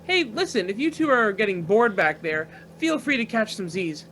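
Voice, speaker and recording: A man's voice: high voice, big pitch swings, moderate pace, a bit bright, slight background noise, poor audio. An actor playing a cocky, breezy young male driver in a radio play. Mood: easygoing, alert. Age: young adult.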